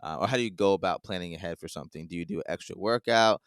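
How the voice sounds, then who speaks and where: A man's voice: low-pitched, very fast, clear, resonant tone, dry recording, clean studio sound. A man hosting a podcast, chatting casually and rambling through his questions. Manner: rambling, full of "like" and restarts. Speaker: podcast host.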